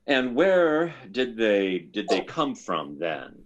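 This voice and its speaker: A man acting a slow, stammering army psychiatrist. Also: lowish voice, very animated, lo-fi sound. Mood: skeptical, probing. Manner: stammering, hemming, deliberate. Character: hesitant, probing male army psychiatrist, methodical. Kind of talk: old-time radio sci-fi drama acting.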